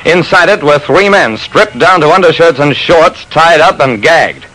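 A man's voice: on the high side, lively intonation, measured pace, bright, forceful tone, slight background noise, low-quality recording. A man narrating a classic radio detective drama as its lead, in a wry, dramatic first-person storytelling manner.

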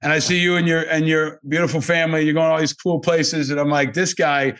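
A man's voice: a little high, little pitch movement, brisk, a bit bright, clean tone. Enthusiastic casual male podcast host. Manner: informal, effusive, swears. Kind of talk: casual interview chat.

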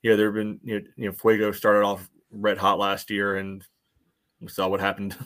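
A man with a fairly low voice offers casual sports analysis as a podcast co-host. His delivery is measured and halting, padded with frequent little hedges. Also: completely flat delivery, a bit bright. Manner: hesitant, measured, frequent "you know" hedging.

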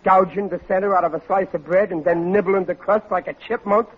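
A man's voice: high-pitched, fairly even intonation, brisk, husky. An actor playing a blustering, thickheaded American husband and father who speaks in folksy bad grammar, in 1940s radio sitcom style. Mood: pompous, grumbling. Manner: folksy, mangled grammar, indignant. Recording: dull, noisy old radio recording.

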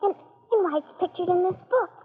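Know-it-all young girl, extremely high-pitched, matter-of-fact, lo-fi vintage radio recording. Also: brisk. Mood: pert. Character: bright, know-it-all young schoolgirl, sisterly. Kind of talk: old-time radio drama acting.

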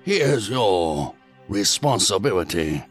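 A man's voice: sits low, very animated, takes it slowly, soft background music, heavily acted. A man playing a stern, zealous leader of a secret faith group, solemn and commanding, in an audio drama. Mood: grave. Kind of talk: science fiction audio drama acting.

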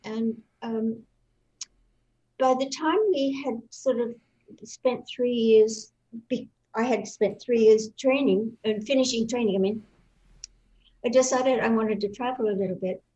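An older Australian woman with a slightly high voice reminisces as an interview guest, wandering through her stories with fillers and restarts. Her voice comes over dull, lo-fi online call audio. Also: fairly even intonation, unhurried, mellow. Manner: rambling storyteller with many fillers and restarts.

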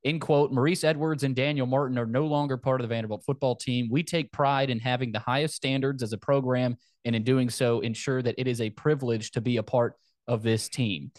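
A man with a mid-pitched voice hosts a casual sports podcast. He talks conversationally and circles back over phrases for emphasis. The recording is close and dry. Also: steady tone, brisk, darkish tone, no room sound.

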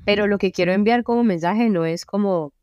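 A young Colombian woman with a Colombian Spanish accent, chatting casually as an interview guest, relaxed and full of fillers. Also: mid-range voice, brisk, no room sound, lo-fi sound. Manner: relaxed, many fillers like "o sea" and "como". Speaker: singer-songwriter guest. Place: friends' apartment.

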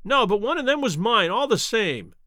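A man's voice: high voice, huge pitch swings, bright, forceful tone, no room sound, studio quality, pitched above the performer's own voice, full character voice. A man voicing a nervous, cringing little man in an audiobook, with a thin, whining, quivering voice. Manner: anxious, halting, eager to please.

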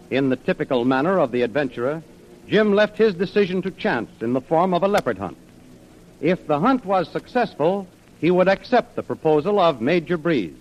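A man's voice: a little high, a little hiss or hum. A man narrating a 1930s radio adventure serial as its announcer, reading from a script. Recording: dull old radio recording with music. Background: music.

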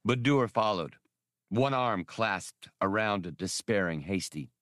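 A man's voice: on the low side, animated, unhurried, a bit bright, dry recording, clean studio sound. A man reading scripted adventure fiction as an audiobook narrator. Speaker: audiobook reader.

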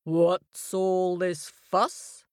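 A woman voicing a majestic male lion who speaks slowly in a lowered, commanding voice. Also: flat delivery, no room sound, studio quality. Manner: slow, deep and booming.

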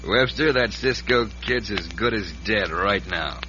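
An American actor playing a scheming, ruthless frontier land agent with a folksy drawl in an old western radio drama. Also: male voice, fairly low pitch, a little slow, dull audio, natural voice. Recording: band-limited, noisy old radio recording.

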